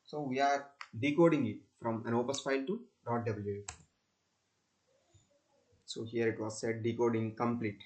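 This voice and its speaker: Halting young man giving a software demo. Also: mid-range voice, steady tone. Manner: halting, repeats himself. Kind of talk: unscripted screen-recording tutorial.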